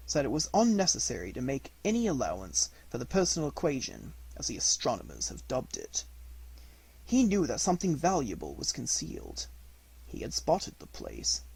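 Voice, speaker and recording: A man giving an expressive, deliberate amateur audiobook reading of a classic detective story, as scripted narration. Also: fairly high pitch, gravelly, husky, low-quality recording.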